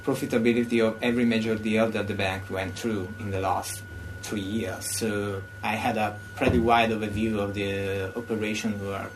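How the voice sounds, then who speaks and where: A man's voice: lowish voice, flat delivery, moderate pace, slight background noise, poor audio. A young man with an Italian accent explaining his professional background as a podcast guest. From Italy. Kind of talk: explanatory self-introduction.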